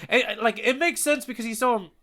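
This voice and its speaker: A man's voice: very high-pitched, fairly quick, pushed and bright. A man co-hosting a podcast, talking casually and enthusiastically about stories and manga. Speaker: podcast co-host.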